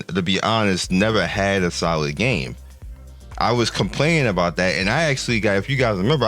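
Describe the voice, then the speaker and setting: Deep-voiced older man talking games. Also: a bit bright. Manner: rambling, many restarts, laughs at the numbers. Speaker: gaming channel host. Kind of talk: casual commentary to viewers.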